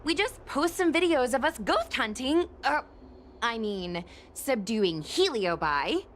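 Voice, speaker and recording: A woman's voice: fairly high pitch, very animated, slow, slight background noise. A woman voicing a brash, playful, enthusiastic young ghost hunter in a video game, talking casually and persuasively with confidence. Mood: boastful, excited. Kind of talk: voiced game dialogue.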